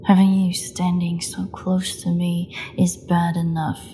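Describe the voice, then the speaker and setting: A woman playing a starving, captive vampire in an audio roleplay, sardonic and teasing, often dropping into breathy half-whispers. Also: fairly low pitch, level tone, measured pace, dark tone, a little hiss or hum, natural voice. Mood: bitter, sarcastic. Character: starving captive female vampire, taunting, flirtatious, desperate. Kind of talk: scripted audio roleplay monologue.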